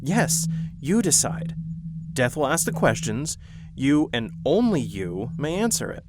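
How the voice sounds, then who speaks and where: Man voicing a kind guide of souls, slow and soft, lively pitch, heavy noise under him. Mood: reassuring. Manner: soft, hesitant, reassuring. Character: gentle male guide of souls to the afterworld. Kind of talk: horror audio roleplay.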